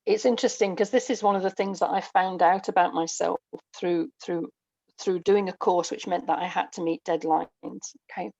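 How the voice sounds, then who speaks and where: Creaky-voiced English woman in conversation. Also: on the low side, fairly even intonation, moderate pace, darkish tone, dry recording, lo-fi sound. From England. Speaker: guest, writer and audio dramatist. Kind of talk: casual interview conversation.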